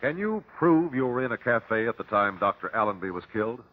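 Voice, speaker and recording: An actor playing a self-assured, formal, probing investigator in an old-time radio drama. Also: male voice, medium pitch, big pitch swings, brisk. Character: self-assured, courteous male amateur legal investigator. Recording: tinny, narrow old radio recording.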